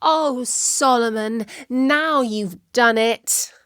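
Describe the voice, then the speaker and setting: A woman's voice: fairly high pitch, very slow, fairly bright tone, clear, resonant tone, no room sound, studio quality. A woman voicing a clever, watchful schoolgirl witch in a storybook, with a knowing manner. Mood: dismayed.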